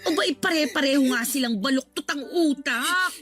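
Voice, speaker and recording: A woman's voice: high-pitched, normal speed, fairly bright tone, soft background music. A woman with a Filipino accent playing a blunt, protective friend in a radio drama, emphatic and indignant. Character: indignant, protective female friend of a wronged wife. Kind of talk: Tagalog radio drama acting.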